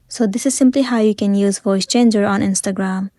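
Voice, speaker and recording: A woman's voice: medium pitch, steady tone, fairly quick, mellow, no echo, clean studio sound. A woman narrating a step-by-step tutorial in a plain-spoken, instructional way, as a scripted voice-over.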